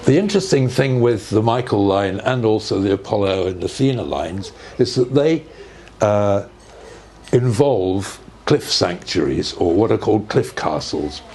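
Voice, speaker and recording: An older man giving an informal, measured explanation in conversation, often checking with rhetorical questions. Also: fairly low pitch, darkish tone. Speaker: speaker on earth energies and ancient sites. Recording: noticeable background noise.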